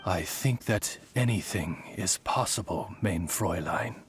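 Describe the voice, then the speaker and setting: A man's voice: low voice, unhurried, a little hiss or hum. A man playing a courtly, loyal familiar to a young lady in a video game, speaking in formal, eloquent, butler-like phrases. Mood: courtly, composed. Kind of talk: video game cutscene voice acting.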